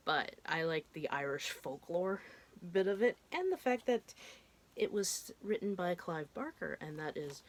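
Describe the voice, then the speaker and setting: A woman in her thirties, stuffed up and congested, chatting casually and rambling a bit as she talks through what she has watched, with the odd casual swear. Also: low voice. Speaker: horror film vlogger. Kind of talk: casual chat.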